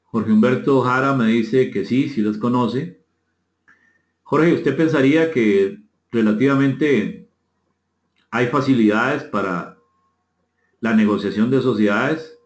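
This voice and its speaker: A Costa Rican man with a medium-pitched voice presents an interactive online seminar. He is courteous and conversational, often repeating phrases as he invites participants in. The sound is dull and echoey, as from a reverberant room. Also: slow, pauses often. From Costa Rica. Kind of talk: interactive webinar talk, reading out chat comments. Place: indoor room, online session.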